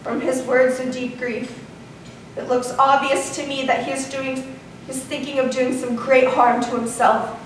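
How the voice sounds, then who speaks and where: An actress playing a warrior's devoted, anxious wife, pleading urgently. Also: female voice, high-pitched, level tone, measured pace. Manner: pleading, urgent stage delivery. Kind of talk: stage tragedy acting. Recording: echoey room, far from mic, faint noise.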